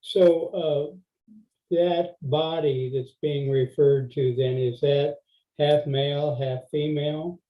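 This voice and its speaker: A man's voice: fairly high pitch, steady tone, slow, dull audio. A man in the audience asking questions hesitantly, with many fillers, on a video call.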